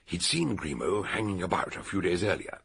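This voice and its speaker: An eccentric old British detective from a radio mystery. His voice is deep and thoroughly rough, full of fry and husk. He explains deliberately and theatrically, with lively rises and falls. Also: exaggerated voice. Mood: animated, authoritative. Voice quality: gravelly, husky. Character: eccentric older male detective expounding a murder solution. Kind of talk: radio detective drama acting.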